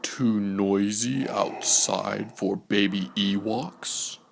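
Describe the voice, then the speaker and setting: A man reads a bedtime storybook very slowly, in a low, hushed voice with a gravelly creak. His delivery is measured and follows the rhyme. There is some noise in the recording. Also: fairly even intonation. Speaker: storybook narrator. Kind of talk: bedtime story read-aloud.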